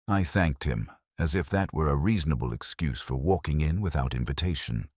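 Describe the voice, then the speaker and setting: A man as a very deep-voiced, brooding ageing detective. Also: expressive, darkish tone, breathy edge, no room sound, clean studio sound, full character voice. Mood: brooding, reflective. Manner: measured, reflective, solemn. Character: ageing, melancholy male detective, wry and brooding. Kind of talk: literary first-person radio drama narration.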